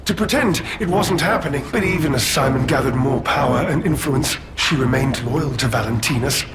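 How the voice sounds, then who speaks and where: An actor playing a slippery, pompous villain in a video game, a cowardly schemer with theatrical, genteel and drawn-out phrasing. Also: male voice, a little high, background noise, close to an everyday voice. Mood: confiding, cowed. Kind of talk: dramatic video game acting.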